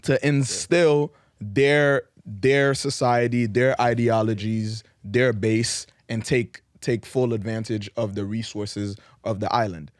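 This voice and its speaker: A man's voice: mid-range voice, fairly even intonation, takes it slowly, dry recording, clean studio sound. A man from Haiti co-hosting a football podcast, making his point earnestly and at length in casual chat. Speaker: podcast co-host.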